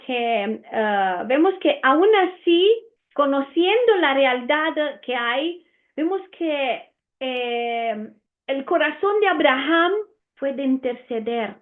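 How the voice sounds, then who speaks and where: Female Bible teacher, Italian accent, Romanian-born, high voice, tinny phone-like audio. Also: animated, takes it slowly. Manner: earnest, with frequent "eh" fillers and restarts.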